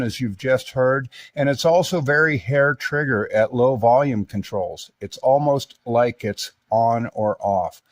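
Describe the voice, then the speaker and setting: Man, radio hobbyist, relaxed technical talk, mid-pitched voice, home workshop. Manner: relaxed, lots of filler words. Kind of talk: casual technical review.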